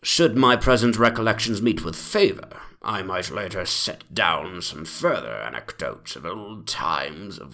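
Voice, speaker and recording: A man playing a very old, rambling gentleman memoirist with a pompous, old-fashioned manner in a dramatic reading. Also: low-pitched, gravelly, full character voice.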